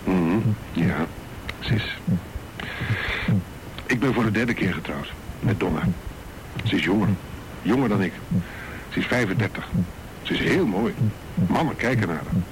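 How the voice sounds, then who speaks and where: A man's voice: on the low side, animated, a little slow, pauses often, a little hiss or hum, poor audio. An actor in his fifties playing a hesitant oil company director who stammers and restarts in a radio play. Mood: guarded. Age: 50s.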